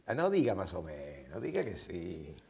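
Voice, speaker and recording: An older man with an Argentine Spanish accent hosts a matchmaking program in a TV studio. He coaxes his guest with playful, repeated questions, his pitch swinging widely. The sound is a lapel mic in a studio. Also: huge pitch swings, moderate pace, vocal fry, warm tone, slightly rough. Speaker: TV matchmaking show host. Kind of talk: studio interview and address to camera. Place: TV studio set.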